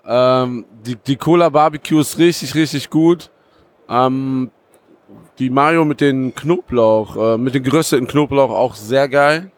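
A man's voice: medium pitch, a little slow. A man co-hosting a podcast, talking in an enthusiastic, slangy, rambling way at a trade fair stand. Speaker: podcast co-host. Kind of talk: casual chat.

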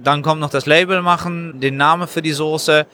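A man who makes sauces, answering interview questions at a trade fair stand in a halting, explanatory way. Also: on the high side, fairly even intonation, pushed and bright, a little hiss or hum. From Germany. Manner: halting, explanatory, frequent hesitations. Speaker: sauce maker, guest. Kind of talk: casual interview.

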